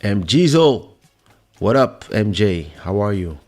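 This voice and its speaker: A man hosting a casual livestream, thinking aloud in an unhurried way with frequent pauses and muttering, close on a podcast microphone. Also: fairly low pitch, big pitch swings. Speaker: livestream host and musician.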